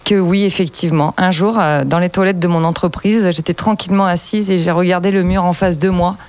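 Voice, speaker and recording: A woman on morning radio telling a comic story as a columnist, animated, with mock-outraged asides. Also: lowish voice, brisk, smooth.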